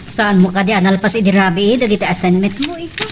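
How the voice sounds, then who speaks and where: A woman's voice: mid-range voice, expressive, fast talker, natural voice. A caring, watchful mother in a radio drama, played by a woman. Her speech rises and falls expressively over faint background noise. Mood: fussing. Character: fussing middle-aged mother, caring and watchful. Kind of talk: Philippine radio soap acting.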